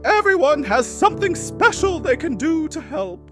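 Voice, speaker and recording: A woman voicing a wise old horse in a children's storybook, encouraging and calm, with drawn-out words. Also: high-pitched, over music. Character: wise old horse leading farm animals.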